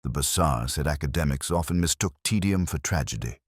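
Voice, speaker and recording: Very deep-voiced man narrating detective fiction. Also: expressive, breathy edge, dry recording, clean studio sound. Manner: measured, literary, reflective. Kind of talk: first-person scripted detective story narration.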